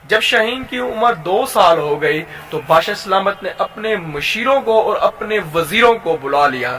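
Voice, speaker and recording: A man with a Pakistani accent and a high-pitched, bright, forceful voice tells a simple moral tale. He narrates informally, in a halting way, often repeating a phrase before he carries on. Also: animated. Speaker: YouTube storyteller and channel host.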